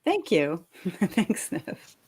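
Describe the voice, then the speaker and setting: A woman with a slightly low voice co-hosts a relaxed book chat. She is encouraging and giggles easily as she talks with the group. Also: drawn-out words, husky. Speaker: co-host of a live book-reading show.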